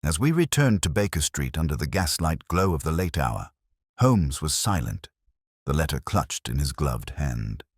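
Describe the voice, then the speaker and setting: Very deep-voiced male story narrator. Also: big pitch swings, moderate pace, husky, no echo, studio quality. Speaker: audiobook narrator. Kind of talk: scripted detective story narration.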